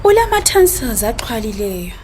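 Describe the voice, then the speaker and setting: A woman's voice: on the high side, very animated, normal speed, a little mellow, slight background noise. A woman with a Zimbabwean accent playing a worried, polite, burdened wife and mother in a radio drama. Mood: worried, complaining. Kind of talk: radio drama acting in Ndebele.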